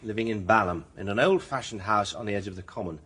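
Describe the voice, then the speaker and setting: An actor playing a suave, confident, flirtatious male barrister from Ireland in a radio drama, smooth-talking and theatrical. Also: fairly low pitch, fast talker, a bit bright. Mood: reassuring, courteous.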